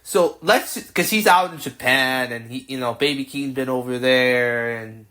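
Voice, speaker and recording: A man's voice: medium pitch, a little slow, bright, forceful tone. A man in his twenties hosting a podcast, asking rambling, casual questions full of restarts. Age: 20s. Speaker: podcast host. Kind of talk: casual interview chat.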